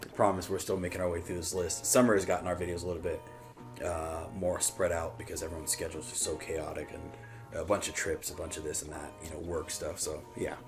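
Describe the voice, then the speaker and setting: A low-voiced man hosts a reaction video. He talks off the cuff in a relaxed, rambling, casual style, with faint music behind him. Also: fairly quick. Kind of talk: casual chat.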